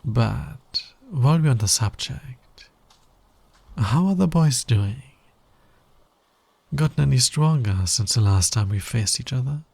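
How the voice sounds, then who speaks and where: Male actor as cocky fantasy villain, slow and gravelly, smug, teasing, flirtatious, intimate ASMR roleplay. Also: medium pitch, lively intonation, a little mellow, no room sound, heavily acted. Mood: smug, confiding. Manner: smug, playful, drawling, teasing.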